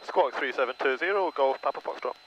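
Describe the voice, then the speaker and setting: A man's voice: mid-range voice, quick pace, a bit bright. A man piloting a light aircraft, making clipped, formulaic radio calls and reading back instructions over an aviation headset. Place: small propeller aircraft cockpit. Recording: dull, noisy cockpit intercom. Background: engine drone.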